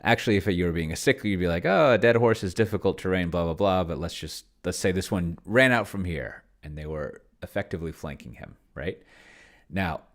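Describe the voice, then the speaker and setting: A man's voice: sits low, lively intonation, fairly quick. A man giving casual think-aloud commentary on a solo tabletop game, rambling and musing to himself as he goes. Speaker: solo tabletop role-player and host.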